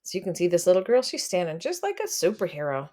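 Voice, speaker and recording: Woman reading to children, very lively intonation. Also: lowish voice, fast. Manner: expressive, addresses children directly. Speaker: read-aloud storyteller for children. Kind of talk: picture book read aloud with commentary.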